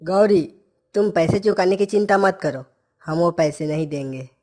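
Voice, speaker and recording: A man's voice: sits high, normal speed, a little mellow, natural voice. A man voicing a poor, humble village labourer in a cartoon story, plaintive and earnest. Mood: stubborn.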